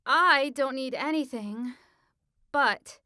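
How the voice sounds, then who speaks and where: Audiobook heroine, sixteen, played by a man: high-pitched, tense, defiant, urgent and wary. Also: takes it slowly, bright, forceful tone, clean tone, full character voice. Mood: pleading. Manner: urgent, leery, breaking voice. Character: defiant, frightened sixteen-year-old girl, snappish and pleading.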